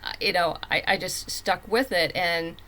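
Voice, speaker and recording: Woman, slightly low pitch, bright forceful tone, candid, casual interview chat. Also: normal speed. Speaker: interview guest, comic book writer.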